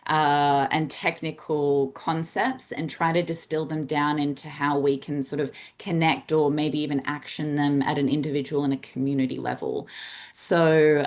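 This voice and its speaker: An Australian woman in her thirties talks through her career in sustainability communication. Her voice sits low, and her delivery is earnest, dotted with ums and small restarts as she explains ideas to her audience. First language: English. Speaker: science communicator. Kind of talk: presentation talk.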